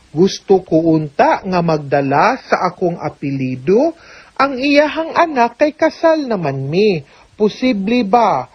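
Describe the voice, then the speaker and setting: A woman's voice: medium pitch, slightly rough, dull audio. A woman with a Cebuano Filipino accent hosting a radio advice show as an attorney, chattily answering a listener's letter and mixing in English with frequent tag questions.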